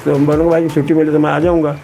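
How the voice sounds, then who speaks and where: Creaky, bright-voiced elderly man reminiscing. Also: fairly high pitch, level tone, fast talker, faint music behind. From Betul, central India. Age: 80s. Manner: rambling, repeats words, acts out remembered dialogue. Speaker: retired army veteran, interview guest. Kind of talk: conversational personal reminiscence.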